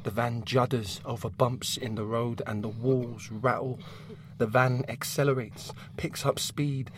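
A man reads a dark audio drama slowly and deliberately, with an intense delivery that sinks into hushed whispers. Faint ambient sound sits underneath him. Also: medium pitch, fairly even intonation, a little hiss or hum. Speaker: narrator. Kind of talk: scripted audio drama narration.

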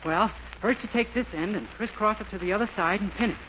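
Through noisy, dull old radio sound comes an actor as a dim, innocent young American man. He speaks in a high voice, earnest and polite, in sitcom style. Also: fast talker, close to an everyday voice. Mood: naive eagerness. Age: young adult. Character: naive, eager, dim-witted young man. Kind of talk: 1940s radio sitcom acting. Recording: muffled, very noisy 1940s radio recording.

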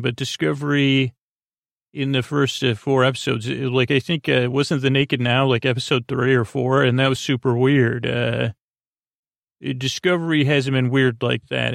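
A man hosting a bedtime podcast in a rambling, unscripted way, halting and repeating himself, with a goofy, whimsical, self-deprecating manner. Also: medium pitch, vocal fry, fairly bright tone, dry recording, studio quality. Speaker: podcast host.

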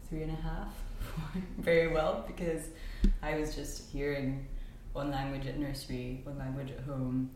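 Low-voiced young woman in an echoey room. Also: level tone, unhurried, few pauses. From Spain. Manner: chatty, frequent ums, laughs often. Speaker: podcast guest. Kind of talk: casual conversational chat. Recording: very echoey room with faint noise.

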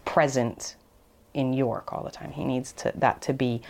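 Woman expert guest, low voice, chatting through an explanation, bit hesitant as she thinks it out. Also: steady tone, unhurried, creaky, darkish tone. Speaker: academic expert guest, archaeologist. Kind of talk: conversational explanatory interview.

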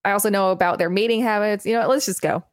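A woman's voice: medium pitch, fairly even intonation, rapid-fire, no echo. A woman co-hosting a podcast, reading an article aloud with casual commentary. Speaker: podcast co-host.

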